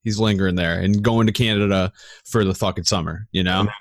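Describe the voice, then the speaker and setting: A man with a fairly low voice chats casually. He is blunt and profane, leaning on slangy slacker talk as he jokes around. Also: fairly even intonation, fairly quick. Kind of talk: casual comic banter.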